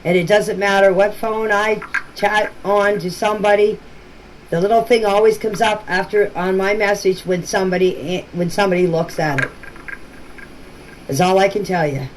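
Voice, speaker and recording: A woman leaving informal voice messages for a group chat, explaining things in a halting, repetitive way. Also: medium pitch, fairly even intonation. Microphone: phone. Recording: noisy phone voice clips.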